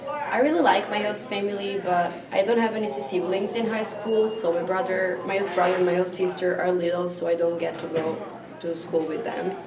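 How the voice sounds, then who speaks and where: A woman's voice: on the low side, fairly even intonation, normal speed, echoey room, recorded from a distance, a loud crowd. A teenage exchange student from Italy, talking plainly about her stay. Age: teens.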